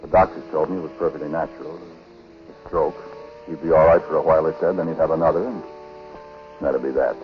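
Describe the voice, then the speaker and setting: An actor playing a haunted, uneasy husband, narrating a dark story in a halting, conversational 1940s radio style. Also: male voice, very deep voice, fairly even intonation, soft background music. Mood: uneasy, defensive. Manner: halting, conversational, brooding delivery. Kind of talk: 1940s radio drama acting and first-person narration. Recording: muffled, noisy vintage 1940s radio broadcast.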